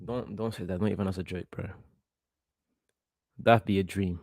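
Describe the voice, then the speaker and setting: A young man from Portugal, about eighteen, hosting a casual football watchalong stream in an excitable, reactive way and often repeating himself. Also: low voice, moderate pace, creaky, no room sound, studio quality. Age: young adult, about 18. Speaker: livestream host.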